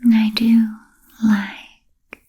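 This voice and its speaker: A woman voicing a genteel, ancient female vampire in a whispered ASMR roleplay, speaking softly and breathily with halting, formal, fussy phrasing. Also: a little high, little pitch movement, husky, exaggerated voice. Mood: gracious, musing. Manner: halting, hesitant, formal old-fashioned phrasing.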